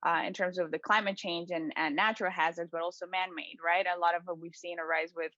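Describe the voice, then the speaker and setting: Woman, lowish voice, searching for words, dull dry recording. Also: fairly even intonation, fast, bright, forceful tone, dry recording. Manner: searching for words, many fillers and restarts. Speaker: guest, resilience network member. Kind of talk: conversational interview answers.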